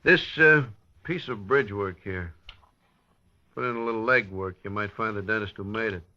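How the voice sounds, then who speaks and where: A man's voice: on the low side, moderate pace, pushed and bright. An American man playing a businesslike, wry police medical examiner in a 1950s radio crime drama. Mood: matter-of-fact. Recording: dull, noisy vintage radio recording.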